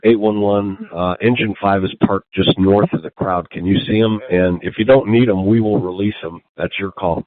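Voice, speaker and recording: Male emergency dispatcher, very low voice, procedural read-back delivery, dry narrow-band two-way radio sound. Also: steady tone, moderate pace, few pauses, dry recording. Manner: procedural, reads messages back. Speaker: emergency medical group dispatcher. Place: command post.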